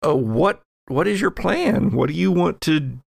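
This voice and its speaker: A man narrating as game master of a role-playing podcast, halting and thinking aloud as he goes. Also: fairly high pitch, animated, gravelly, no room sound, studio quality.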